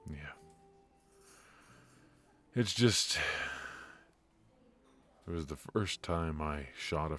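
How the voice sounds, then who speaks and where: A man acting a brooding, ruthless yet remorseful mafia defector in an intimate noir ASMR audio drama, speaking in a halting, confiding way. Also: very deep voice. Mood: regretful, somber. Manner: halting, hesitant, confiding delivery.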